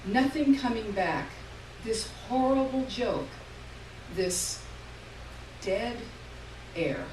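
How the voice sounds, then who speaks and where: Expressive woman reading fiction, distant roomy sound. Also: fairly high pitch. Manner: expressive, emphatic on key words, pausing between short phrases. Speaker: reader of short fiction at a live literary event. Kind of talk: dramatic reading of literary short story. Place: live stage reading in a room. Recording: roomy, distant mic, faint noise.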